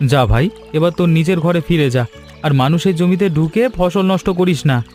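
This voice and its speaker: A man playing a poor, honest, kind young villager in an animated children's story, gentle and conciliatory in manner. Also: a little high, moderate pace, faint music behind, lightly acted. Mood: calm, resigned.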